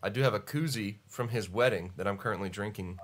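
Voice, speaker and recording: A man's voice: lowish voice, quick pace, slight background noise. A man hosting a podcast live stream in casual chat, with a stuffy, congested voice, rambling and laughing often. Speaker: podcast host.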